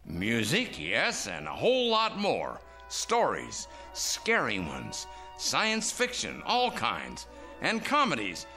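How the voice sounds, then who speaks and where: Cranky old man, wildly swooping pitch. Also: takes it slowly, faint music behind, full character voice. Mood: nostalgic. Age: older. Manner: grumbling, theatrical, animated. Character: cranky, nostalgic old man, scornful of television. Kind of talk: radio drama acting.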